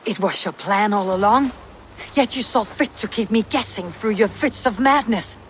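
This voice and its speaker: A proud, stubborn Viking warrior played by a woman, loyal to her oaths. She speaks in a firm, blunt way at a middle pitch, in a game cutscene with light ambient noise. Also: normal speed, natural voice. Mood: indignant. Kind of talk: video game cutscene acting.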